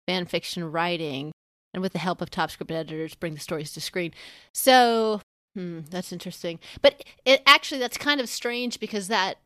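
A woman's voice: mid-range voice, a bit bright, no echo, studio quality. A woman co-hosting a podcast in casual discussion, thinking aloud in a rambling, hedged way. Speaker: podcast co-host.

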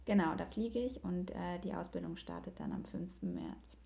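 A woman's voice: on the low side, wildly swooping pitch, normal speed, gravelly, warm tone. A young woman chatting casually and reflectively as a podcast guest. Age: young adult. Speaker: podcast guest, yoga teacher and coach.

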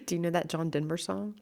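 A woman hosting her own podcast, chatting in a bubbly, upbeat way. She is stuffed up and a little raspy from allergies and is recording in a large room with stucco walls. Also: fairly low pitch, fairly even intonation, normal speed. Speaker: podcast host, nutritionist. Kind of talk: casual solo podcast talk.

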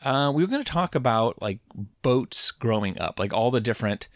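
A middle-aged man co-hosting a podcast, chatting casually in a relaxed, rambling way with halting restarts. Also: mid-range voice, no room sound, clean studio sound. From Puget Sound, Washington. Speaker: podcast co-host. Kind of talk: casual chat.

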